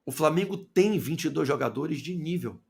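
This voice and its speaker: A man from Salvador with a Bahian accent giving an opinionated football commentary monologue, firing rhetorical questions and swinging between loud and low. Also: lively intonation, moderate pace, gravelly. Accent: Bahian Portuguese. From Salvador, Bahia, Brazil.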